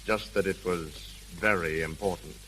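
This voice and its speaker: A deferential butler with a low, deep voice and stiff formal manners. It is vintage radio theatre with a faint crackling hiss. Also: male voice, steady tone, normal speed, a bit bright. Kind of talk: 1930s American radio drama acting. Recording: hissy, crackling 1930s radio recording.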